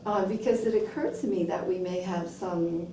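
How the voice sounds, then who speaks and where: A woman's voice: on the low side, normal speed. Curious woman interviewer, echoey room. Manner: curious, warm, frequent affirmations. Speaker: interviewer, film critic. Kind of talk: interview conversation. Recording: roomy, distant, faint noise.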